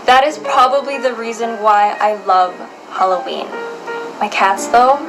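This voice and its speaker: Playful higher-voiced woman over music. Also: unhurried, rough recording. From Saudi Arabia. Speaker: pageant contestant, model and host. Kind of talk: light self-introduction to camera. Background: prominent music.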